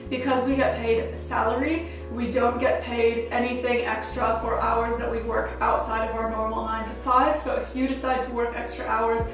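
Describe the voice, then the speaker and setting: A woman's voice: on the high side, little pitch movement, normal speed, hardly any pauses, heavy reverb, recorded from a distance, music in the background, poor audio. A young American woman in her twenties, chatting upbeat to camera as a travel vlogger, with plenty of casual fillers. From United States. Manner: chatty and upbeat, frequent "like" fillers, laughs easily. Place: small indoor room at home.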